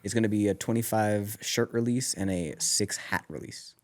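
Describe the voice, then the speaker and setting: A man's voice: lowish voice, fairly even intonation, moderate pace. A young man on a podcast as a guest, chatting in an easygoing way with many "like" fillers. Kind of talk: casual chat.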